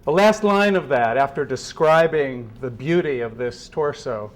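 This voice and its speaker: A man with a mid-pitched voice addresses a gathering. His delivery is solemn and deliberate. He phrases things in a measured way, suited to philosophical prose presented aloud. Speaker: speaker at a meeting. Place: meeting room with an audience.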